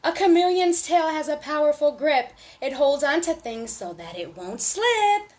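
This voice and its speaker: A woman hosting a storytime for young children, reading aloud in a sing-song, deliberate way with little asides to her listeners. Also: sits high, expressive, a little mellow.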